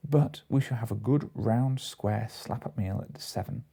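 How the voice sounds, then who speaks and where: A hearty, decisive gentleman from a comic storybook, read by a man. His voice is dark and husky, and he sounds sure of his plans. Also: on the low side, unhurried, exaggerated voice. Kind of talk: audiobook character voice.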